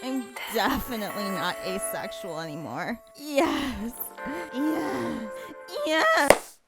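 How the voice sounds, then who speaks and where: A woman's voice: a little high, animated, slow. A woman acting as a blissfully amazed, sultry character in an exaggerated adult-film parody. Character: breathless, over-the-top porn parody woman, blissfully amazed. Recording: loud music under the voice. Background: loud music.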